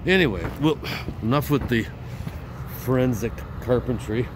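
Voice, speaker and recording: An older man giving a casual, halting walkthrough of a barn frame he is restoring, filming himself with a handheld phone outdoors. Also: medium pitch. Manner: unhurried, halting with many fillers. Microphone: phone camera held at arm's length. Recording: outdoor phone audio with clear background noise.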